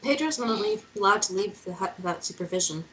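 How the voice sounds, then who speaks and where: A woman's voice: medium pitch, lively intonation, quick pace, fairly bright tone, lightly acted, low-quality recording. A woman playing a sceptical young woman who asks direct, probing questions in a script table read. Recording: noticeable background noise.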